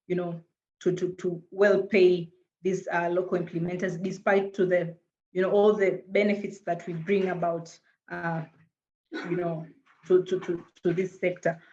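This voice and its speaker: A woman from Tanzania with a Tanzanian accent speaks over a dull, lo-fi call line. Her voice sits low and stays quite flat in pitch. She talks earnestly as a panelist and restarts often. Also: normal speed, slight background noise. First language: Swahili. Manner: earnest, hesitant with frequent repeated words and fillers. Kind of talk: panel discussion answer.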